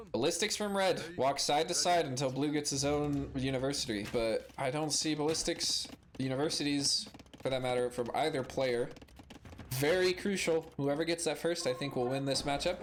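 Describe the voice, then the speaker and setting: A man with a cold, hoarse and clearing his throat now and then, giving casual play-by-play commentary on a strategy game, calm analysis broken by excited exclamations. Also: medium pitch, slight background noise.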